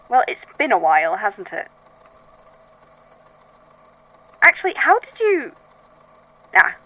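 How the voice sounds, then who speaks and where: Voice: mid-range voice, a bit bright, low-quality recording. A performer playing a weary, haunted archivist, speaking in a hesitant, introspective, conversational way in an audio drama monologue. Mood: surprised, curious. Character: weary, haunted archivist recording statements of uncanny events. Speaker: voice actor in a fan fiction audio drama.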